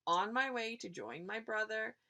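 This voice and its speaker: A woman's voice: medium pitch, lively intonation, sharp tone. A woman voicing a medium-sized male goat who speaks courteously. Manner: courteous, measured.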